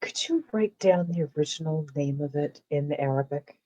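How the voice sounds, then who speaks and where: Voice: mid-range voice, normal speed, dark tone, dull audio, natural voice. A player voicing a wary woman investigator, speaking firmly and dryly in a tabletop game. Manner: firm, dry and warning. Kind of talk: playing a character in a tabletop game.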